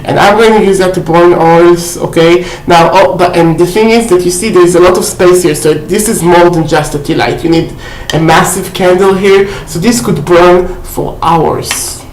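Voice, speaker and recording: A woman's voice: on the low side, normal speed, few pauses, a little mellow, a little hiss or hum. A woman casually showing off a shopping haul on her vlog, chatty and full of fillers, often checking in with "okay?". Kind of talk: casual haul video chat. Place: indoor room at home. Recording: roomy echo.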